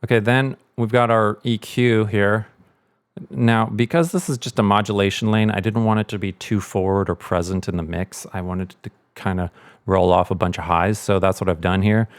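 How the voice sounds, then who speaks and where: Low-voiced man giving a casual tutorial. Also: steady tone, normal speed, dry recording. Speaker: sound designer giving a tutorial.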